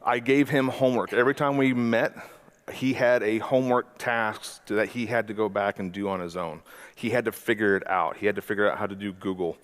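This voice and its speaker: A man in his forties speaks at a conference in a somewhat low voice. He talks like a mentor sharing lessons, loose and chatty, restarting phrases and dropping the odd "uh" as he goes. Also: steady tone, a little fast, a bit bright. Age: 40s. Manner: conversational, self-correcting presenter with frequent "uh". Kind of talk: conference talk.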